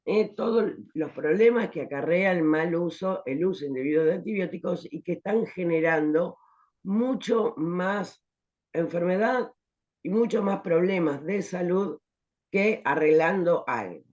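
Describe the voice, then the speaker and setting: A woman's voice: fairly low pitch, unhurried, darkish tone. Woman teacher, explanatory, checks in with "¿eh?", dull online-call sound. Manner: explanatory, rhetorical questions, frequent "¿eh?" tags. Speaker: pharmacology teacher. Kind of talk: online class lecture.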